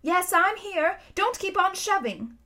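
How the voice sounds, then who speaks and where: A woman voicing a spirited, quick-witted young schoolgirl in a storybook adventure, excitable and urgent. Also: very high voice, pitched above the performer's own voice, full character voice. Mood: annoyed.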